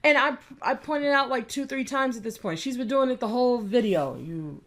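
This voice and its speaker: A woman's voice: a little high, animated, normal speed. A woman giving a sarcastic, exasperated commentary rant while reacting to a video. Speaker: commentary youtuber. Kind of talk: reaction rant over a clip.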